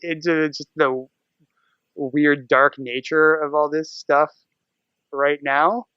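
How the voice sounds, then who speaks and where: A man's voice: a little high, flat delivery, slow, clear, resonant tone, no echo, dull audio. An American man chatting casually as a podcast guest, rambling and acting out his own thoughts as he goes. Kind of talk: casual podcast interview chat.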